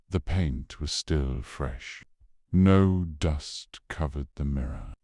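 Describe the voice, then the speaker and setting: A man reading scripted horror narration in a documentary style, measured and solemn, with dramatic pauses. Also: rumbling low, a little mellow, no echo, clean studio sound.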